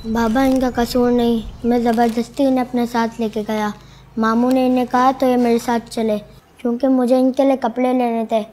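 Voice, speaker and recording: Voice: mid-range voice, little pitch movement, moderate pace, a little mellow, natural voice. A child actor playing an earnest, apologetic young boy in a moral drama, recorded with noisy ambience. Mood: earnest, pleading. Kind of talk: acted dialogue in a moral drama. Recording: noisy location sound with ambience.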